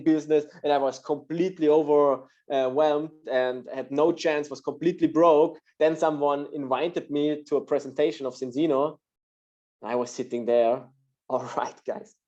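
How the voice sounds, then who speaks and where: A man's voice: on the high side, dark tone. Austrian man on a dull video call. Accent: Austrian German. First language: German. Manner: hesitant, many uh fillers. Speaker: guest speaker. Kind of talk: casual storytelling on a video call.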